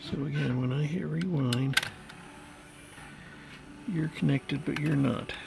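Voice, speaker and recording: A man's voice: a little high, takes it slowly, gravelly, background noise. A man chatting casually and thinking aloud as he tinkers, muttering to himself and to the thing he is repairing. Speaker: hobbyist repairer of vintage tape recorders.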